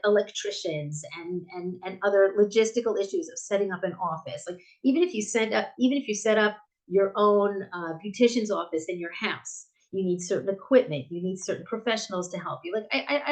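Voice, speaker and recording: A woman's voice: medium pitch, rough recording. A middle-aged American woman, a bookstore owner, answering interview questions in a chatty way with frequent restarts and fillers. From United States.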